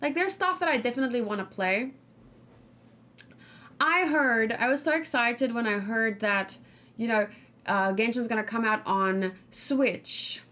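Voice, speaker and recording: A woman's voice: on the high side, measured pace, pushed and bright. A woman gaming streamer talking casually and informally, rambling and thinking aloud as she hosts a let's play.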